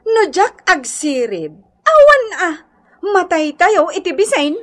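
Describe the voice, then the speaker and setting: A woman's voice: very high-pitched, big pitch swings, slow pace, fairly bright tone, exaggerated voice. A woman acting a stingy, curt and dismissive daughter-in-law in a radio comedy drama, with an Ilocano accent. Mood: defiant.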